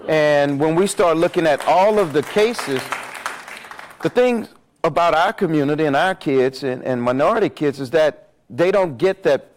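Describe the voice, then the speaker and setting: A man with a loud voice speaking on stage as a panelist, making emphatic points and repeating words as he builds his argument. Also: a little high, expressive, normal speed, a faint crowd, slight background noise. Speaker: panelist on justice reform. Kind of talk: impassioned panel talk. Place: on stage at a live event.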